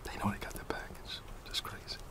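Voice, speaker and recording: A man's voice: a little fast, recorded from a distance. A middle-aged American man whispering a casual, grumbling ASMR roleplay as a gossiping office employee, in a conspiratorial manner.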